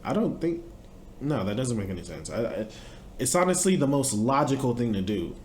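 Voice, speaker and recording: A young man riffing on a clip as a reaction host, blunt and profane. His pitch swings widely as he talks, and a faint hiss sits under his voice. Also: very animated, moderate pace, darkish tone. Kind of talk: casual reaction commentary.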